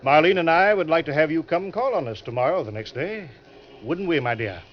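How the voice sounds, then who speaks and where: An actor playing a suave, genial older gentleman schemer, with smooth, courtly and pompous politeness, in 1940s radio drama style. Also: a little high, expressive, fairly quick, close to an everyday voice. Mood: genial, sly. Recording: muffled, noisy old-time radio recording.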